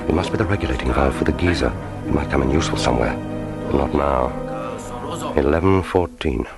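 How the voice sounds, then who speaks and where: An actor playing a cool, wry British secret agent, narrating his thoughts in a deadpan, gravelly voice in a radio play. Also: a bass voice, a little fast. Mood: wary, deadpan. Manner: deadpan, wry, inner monologue. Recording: film score music behind voice.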